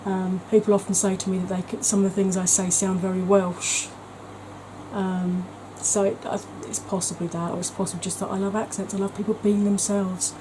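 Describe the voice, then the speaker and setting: A woman's voice: medium pitch, flat delivery, fairly quick, noisy background. A woman talking in a chatty, gushing way as a beauty vlogger, with frequent ums. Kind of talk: casual vlog chat.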